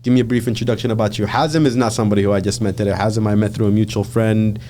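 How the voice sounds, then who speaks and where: A man from Michigan hosting a casual podcast interview, chatty and relaxed, with frequent fillers and restarts. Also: fairly low pitch, very fast, slight background noise. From Michigan, USA. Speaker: podcast host.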